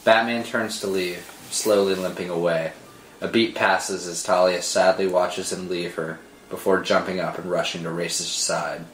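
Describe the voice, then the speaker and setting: Deep-voiced male screenplay narrator. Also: fairly even intonation, a little slow, a bit bright, a little hiss or hum. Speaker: narrator reading stage directions. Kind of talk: dramatic scripted narration. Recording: roomy sound with music underneath.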